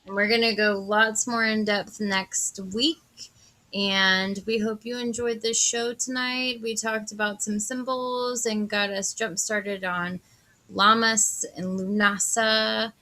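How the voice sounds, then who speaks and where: A woman's voice: mid-range voice, steady tone, slow, bright, forceful tone. A woman chatting casually in a friendly, agreeable way as co-host of a talk show. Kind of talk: casual chat.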